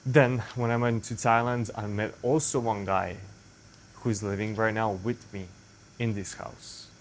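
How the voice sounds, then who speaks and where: A man's voice: lowish voice, steady tone, unhurried, slight background noise. A young man from Serbia with a Serbian accent, speaking English as a second language in a rambling, self-correcting casual monologue to the camera. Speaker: vlogger.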